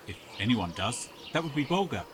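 A man voices an elderly furred villager in a fantasy audio drama. He is folksy, hesitant and quick to take offence, with a lively pitch. Clear outdoor noise of insects and birds sits behind him. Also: natural voice. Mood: helpful. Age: older. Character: gullible indignant elderly feral villager with pale fur.

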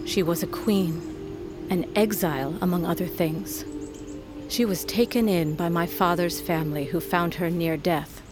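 A low-voiced, middle-aged mother played by a woman in a fantasy audio drama. She is solemn and grieving, speaking with measured weight as if handing down an old tale, with music clearly underneath. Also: fairly even intonation, natural voice. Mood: solemn, reverent. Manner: measured, weighty, storytelling delivery. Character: grieving, solemn mother, proud wolf queen's daughter.

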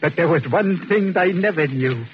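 An actor playing an insane, fanatical avenger who declaims ominously in 1940s radio suspense style. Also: male voice, on the high side, moderate pace, lightly acted. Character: deranged former asylum inmate, fanatical self-appointed angel of death. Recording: muffled old radio, faint hiss.

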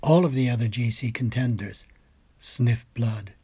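A man's voice: medium pitch, unhurried, dark tone, slightly rough. A man reading a scripted sports report in a literary, dramatic storytelling manner. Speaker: food, wine and travel writer. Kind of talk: scripted narration of cycling race report.

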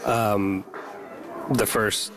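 A man's voice: fairly low pitch, steady tone, extremely slow, a crowd in the background. A man, a parent guest, explaining things casually in conversation.

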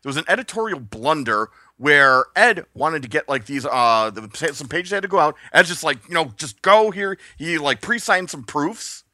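Male podcast co-host, bright and lively, playful anecdote teller, dry close mic. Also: on the high side, moderate pace, few pauses, dry recording. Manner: animated, laughs often, acts out quoted voices. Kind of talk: casual storytelling chat.

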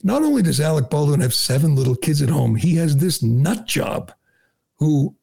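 Man, middle-aged, talk radio host, very gravelly, husky, sarcastic, filler-heavy. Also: fairly high pitch, very animated, normal speed, dark tone. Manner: sarcastic, mocking, with frequent filler "uh" and restarts. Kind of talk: opinionated talk radio monologue.

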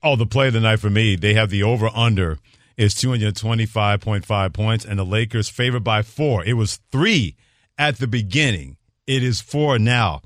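A man's voice: lowish voice, moderate pace, no echo, clean studio sound. A man with an American accent co-hosting sports talk radio, giving opinions emphatically and repeating points.

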